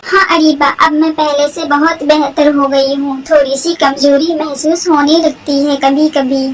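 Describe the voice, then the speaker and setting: A woman's voice: sits high, little pitch movement, unhurried. A woman voicing a loyal, helpful female crow with an earnest, encouraging manner in a cartoon. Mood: grateful.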